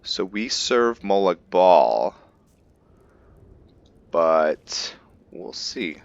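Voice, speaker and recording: Very deep-voiced gamer musing aloud. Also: male voice, sing-song, extremely slow, vocal fry, no room sound, muffled recording. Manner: thinking aloud, musing and self-correcting. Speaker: gamer narrating a roleplay playthrough. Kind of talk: casual let's-play commentary.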